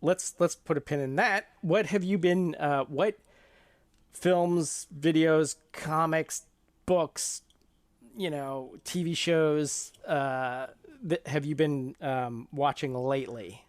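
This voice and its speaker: Middle-aged man, podcast host. Voice a bit on the high side, dry sound, halting conversational delivery. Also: fairly even intonation, no echo.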